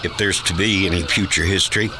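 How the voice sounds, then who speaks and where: A man's voice: deep voice, fairly even intonation, fast, noisy background, close to an everyday voice. A man playing a sober radio reporter in a drama, delivering a grave, formal eyewitness report. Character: sober radio reporter recording history at bomb site.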